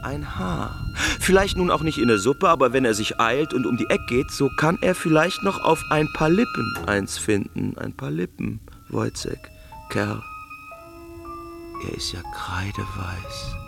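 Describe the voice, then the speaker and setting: An actor playing a pompous, anxious army captain in a German radio drama, rambling and repeating himself sentimentally. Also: male voice, medium pitch, expressive, a little slow, gravelly, music in the background. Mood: mocking, needling.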